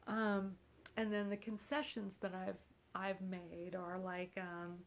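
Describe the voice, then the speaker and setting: A woman's voice: mid-range voice, flat delivery, slow. A woman vlogging casually at home, nasal from congestion, rambling along with a wry, self-mocking humor. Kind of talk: casual chat.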